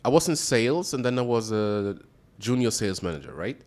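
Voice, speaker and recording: A man's voice: lowish voice, fairly quick. A man from Austria, a strategic advisor, talking casually as a podcast guest and acting out voices in his stories. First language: German. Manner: animated storyteller, acts out voices in anecdotes.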